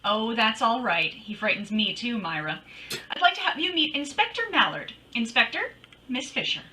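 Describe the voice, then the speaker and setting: A woman's voice: on the high side, big pitch swings, normal speed, pushed and bright, far from the mic, a little hiss or hum. An actress playing a witty, feisty woman detective in a 1940s radio drama, with a wry, teasing, dramatic delivery. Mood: friendly, gushing. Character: witty, feisty woman private detective narrating her case.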